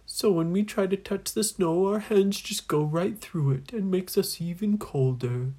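A woman's voice: on the low side, unhurried, mellow, slightly rough, pitched above the performer's own voice. A woman voicing a lonely, mournful old ghost in a children's story, with sighs and exclamations. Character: mournful, lonely old island ghost, long without hands.

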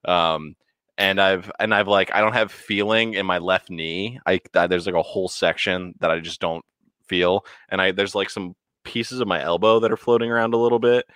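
A man's voice: deep voice, fairly even intonation, moderate pace, fairly bright tone, smooth, no room sound. A man, chatting casually with lots of swearing and stumbling restarts. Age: 20s. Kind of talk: casual chat.

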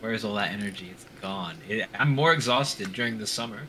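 A man's voice: on the low side, brisk, sharp tone, slight background noise, rough recording. A young man co-hosting a casual podcast, explaining things at length in an earnest way. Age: young adult. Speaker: podcast co-host. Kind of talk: casual chat. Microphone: home mic over a voice call.

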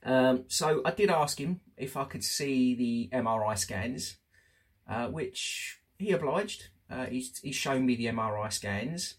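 A man talking candidly and casually as host of a personal diary show, chatty but halting, pausing for emphasis. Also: medium pitch, animated. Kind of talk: casual spoken diary update.

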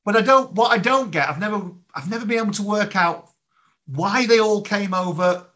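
A male interviewer chats casually with his guest. His voice is fairly high for a man and has a bright, forward edge. He comes across as agreeable and talkative. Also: normal speed.

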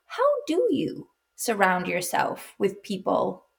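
A woman's voice: medium pitch, big pitch swings, slow, vocal fry. A woman hosting a podcast, asking long, rambling questions and chiming in often with short agreements. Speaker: podcast host. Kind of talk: casual interview chat.

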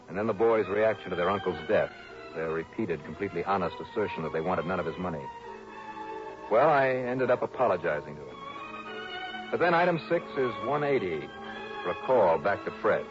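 A suspicious, sardonic private investigator from early sixties radio drama. His voice sits low and his phrases are clipped. The broadcast sound is dull, with music behind. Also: animated, brisk. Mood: wry, matter-of-fact. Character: hard-boiled, suspicious male insurance investigator narrating his case. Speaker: lead actor and narrator of a radio drama. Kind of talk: 1960s radio detective acting.

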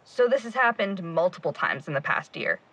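A woman's voice: lowish voice, big pitch swings, fairly quick, sharp tone, husky, full character voice, low-quality recording. A young woman acting a blunt, determined investigator in an audio drama. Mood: insistent. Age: young adult. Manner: blunt, insistent.

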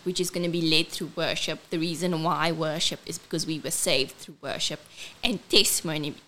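Woman, South African accent, squeaky childlike voice, earnest testimony, mixes English and Afrikaans. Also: bright, forceful tone. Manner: earnest and heartfelt, switching between English and Afrikaans. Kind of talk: personal testimony and event announcement.

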